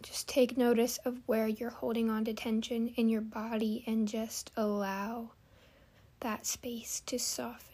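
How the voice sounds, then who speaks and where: A woman hosting a guided meditation, giving gentle, soothing instructions with long pauses. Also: fairly high pitch, level tone.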